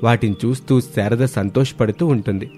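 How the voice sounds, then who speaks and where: Mid-pitched male story narrator. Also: moderate pace, dark tone, soft background music. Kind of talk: scripted moral-story narration.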